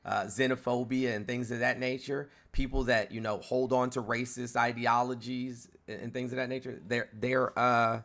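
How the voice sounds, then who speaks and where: A man in his forties hosts a heated debate with a steady mid-range voice. He lectures in a condescending way, stumbling and restarting as he builds his point. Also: mid-range voice, normal speed, few pauses. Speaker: host.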